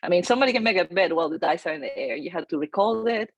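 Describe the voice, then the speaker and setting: A woman, telling her story as an interview guest, energetic with many fillers and restarts. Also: lowish voice, very fast, highs cut off. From Chile. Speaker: real estate agent, guest.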